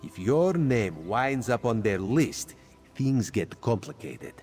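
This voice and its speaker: Playful, secretive cat-man spy for a game, lively sing-song delivery, speaks of himself in third person, faint music behind. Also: mid-range voice, takes it slowly. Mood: sly. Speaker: spymaster quest giver. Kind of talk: video game character dialogue.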